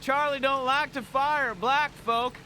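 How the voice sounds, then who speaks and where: A man's voice: very high-pitched, steady tone, slow pace, exaggerated voice. A man playing a big, easygoing farm-boy Marine in a war game, folksy and joking. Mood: joking, confident. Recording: loud engine and outdoor noise.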